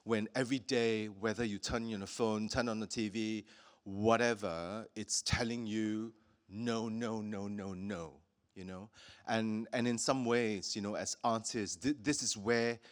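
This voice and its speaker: Low-ish guy from singapore hosting an arts talk, lots of ums, careful. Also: fairly even intonation, measured pace. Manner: thoughtful, hesitant, many fillers. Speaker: host. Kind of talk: hosted public talk.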